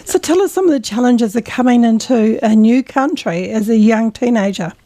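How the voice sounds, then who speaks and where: Bright high-pitched woman, cheerful community TV host, praises her guest. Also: moderate pace. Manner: upbeat, encouraging questions. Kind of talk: community TV interview.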